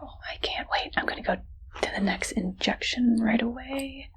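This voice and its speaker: A woman's voice: fairly high pitch, lightly acted. Whispering, sweetly evil scientist played by a woman. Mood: quietly eager. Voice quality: breathy whisper. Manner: slow, soft, sweetly sinister, attentive. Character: friendly but evil female scientist examining a captive subject. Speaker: ASMR roleplay performer. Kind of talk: ASMR medical roleplay.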